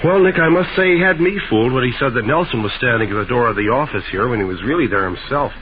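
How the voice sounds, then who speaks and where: A man's voice: mid-range voice, lively intonation, fast, lightly acted. An old, narrow-band radio recording with light noise frames an actor playing a folksy American sidekick. He is a plain-spoken, slangy man who sounds readily impressed, in 1940s radio mystery style. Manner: folksy, wondering.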